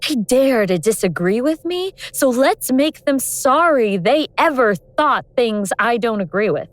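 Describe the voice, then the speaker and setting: A woman playing an awakened ancient goddess of vengeance in human form, speaking in a wry, deliberate, sardonic way in an audio drama. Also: fairly high pitch, lively intonation, no room sound. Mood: bitter, scornful. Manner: sardonic, world-weary, deliberate explaining.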